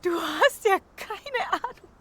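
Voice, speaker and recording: A woman's voice: very high-pitched, animated, slow, a little hiss or hum, full character voice. A woman playing a hard, threatening survivor in an audio drama, curt and urgent in manner. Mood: anguished anger. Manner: curt, menacing, hushed urgency. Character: hard, threatening female survivor guarding a boy.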